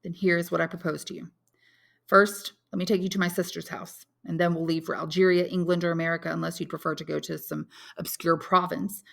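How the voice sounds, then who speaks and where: Woman reading as an earnest, intense young man, creaky-voiced. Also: lowish voice, flat delivery, fairly quick, a bit bright. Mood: controlled, persuasive. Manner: earnest, solemn. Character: intense, lovelorn, honorable young man, grimly resolute. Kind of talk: dramatic audiobook character reading.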